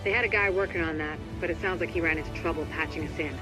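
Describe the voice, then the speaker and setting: High-voiced actor as terse briefing officer. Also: male voice, fairly even intonation, very fast, lightly acted, low-quality recording. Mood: urgent, businesslike. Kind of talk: scripted game mission briefing. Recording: loud music under the voice. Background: loud music.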